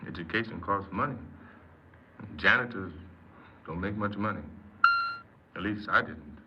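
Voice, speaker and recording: A low-voiced, rueful young thief, noisy old radio. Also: male voice, unhurried. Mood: rueful, explaining. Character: impatient, self-justifying young male thief confessing his crime. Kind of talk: 1950s radio drama acting. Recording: muffled, very noisy 1950s radio recording.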